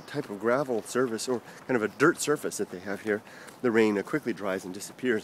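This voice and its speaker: A man from the United States rambling through viewer comments in a casual vlog, halting with many fillers and restarts. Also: mid-range voice, a little mellow, a little hiss or hum. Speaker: vlogger answering viewer comments.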